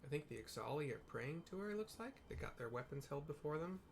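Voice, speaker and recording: A man's voice: on the high side, expressive, fast, gravelly, a little hiss or hum. Man casually describing a game. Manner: loose, hesitant describing with fillers. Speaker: player narrating a game. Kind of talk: casual live audio description of gameplay.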